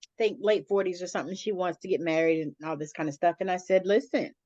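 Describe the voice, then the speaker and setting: A woman's voice: lowish voice, fast, mellow, dry recording, highs cut off. A middle-aged woman hosting a casual chat, blunt and colloquial, peppering her talk with "you know". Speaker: interview host.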